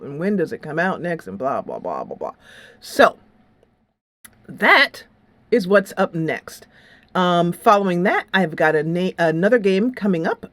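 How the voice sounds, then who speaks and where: A woman's voice: lowish voice, no echo. A woman hosting a gaming channel, chatting casually in a rambling way with some dramatic rhetorical flourishes. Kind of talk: casual game review and upcoming series chat. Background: music.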